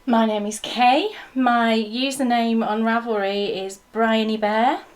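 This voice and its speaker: A woman's voice: fairly high pitch, steady tone, a little slow. Chatty woman telling a home story. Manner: chatty, storytelling, laughs easily. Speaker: co-host. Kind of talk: casual chat.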